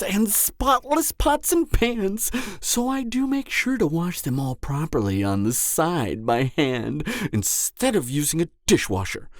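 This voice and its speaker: A man playing a gleeful, gloating cannibal serial killer in an audio roleplay, with pompous, florid, drawn-out phrasing. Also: mid-range voice, no room sound, studio quality. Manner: theatrical, drawn-out phrasing with frequent chuckling. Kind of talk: roleplay audio drama monologue to the listener.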